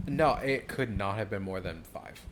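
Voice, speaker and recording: A woman's voice: animated, normal speed, music in the background. A young woman with a Southern US accent, co-hosting a podcast, telling frank stories bluntly and laughing often. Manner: blunt storyteller, laughs often, big pitch swings. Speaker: podcast co-host. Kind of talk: casual chat.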